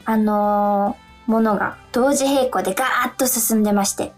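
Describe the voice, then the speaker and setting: A woman's voice: fairly high pitch, moderate pace, faint music behind. A woman co-hosting a radio show, chatting casually and effusively about her work. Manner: enthusiastic, effusive. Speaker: radio show co-host, stage actress.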